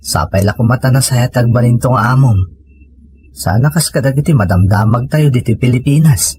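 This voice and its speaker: A man's voice: mid-range voice, normal speed, darkish tone, slight background noise, natural voice. A man with a Filipino accent acting a cheeky, money-minded old family man in an audio comedy drama. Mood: soft, reassuring.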